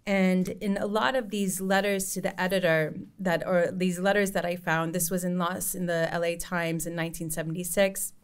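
A woman's voice: lowish voice, flat delivery, moderate pace, hardly any pauses, clean tone. A woman in her thirties giving a university lecture in a conversational way, with frequent ums and restarts, speaking at a podium microphone. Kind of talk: academic lecture with read-aloud quotes. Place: lecture room with concrete wall.

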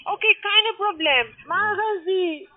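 High, slow woman with foreign accent, tinny phone. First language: not German. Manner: halting, broken phrasing. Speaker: call centre trading agent. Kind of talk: halting sales phone call. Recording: narrow tinny phone line.

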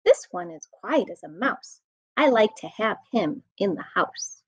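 An American woman, around her thirties or forties, reading a rhyming children's book aloud in an expressive, sing-song way. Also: medium pitch, a little slow, breathy edge, dry recording. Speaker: children's storytime reader. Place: room at home. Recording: dull, muffled webcam audio.

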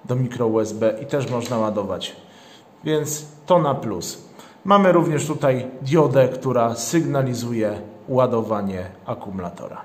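A man presenting a product step by step in a measured, matter-of-fact way with halting phrases. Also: medium pitch. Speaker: product reviewer, channel host. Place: indoors, tabletop product shoot. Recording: roomy indoor echo.

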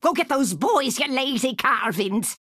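A woman's voice: high-pitched, expressive, measured pace, fairly bright tone, husky, no echo, clean studio sound, full character voice. A woman voicing a crotchety, scheming old witch for a video game, with a Scottish accent and a theatrical, scolding, mock-sweet manner. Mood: grumbling, menacing. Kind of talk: animated video game voice acting.

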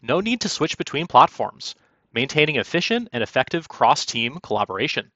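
A man presenting a software walkthrough as polished, instructional scripted narration. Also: medium pitch, very animated, a little fast, no echo, lo-fi sound. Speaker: product demo presenter. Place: quiet, dry room, recorded as voice-over.

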